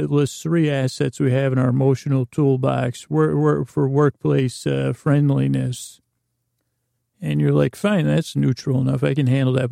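A man hosting a bedtime podcast in a creaky, lulling tone, meandering and halting with long pauses. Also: mid-range voice, dark tone, no echo, studio quality. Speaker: podcast host. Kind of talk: rambling bedtime-story podcast monologue.

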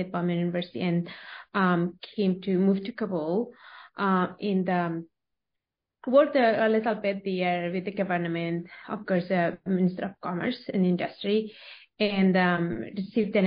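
A young woman from Afghanistan answering interview questions, pausing often with fillers and restarting her sentences. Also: lowish voice. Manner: hesitant, many fillers and restarts. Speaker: guest. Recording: dull lo-fi sound.

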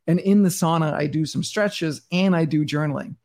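Gravelly-voiced man, rambling and intense. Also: a little high, normal speed, darkish tone. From Denmark. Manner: intense, rambling, many restarts and "like". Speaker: podcast guest. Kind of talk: casual interview talk.

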